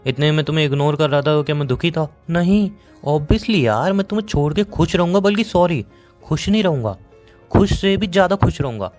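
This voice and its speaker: A man's voice: a little high, darkish tone, soft background music, natural voice. A man with an Indian accent playing a sarcastic, dismissive young boyfriend in a phone-call romance drama. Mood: cold, dismissive. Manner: sarcastic, dismissive, rapid-fire. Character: bitter, sarcastic young boyfriend fed up with his girlfriend.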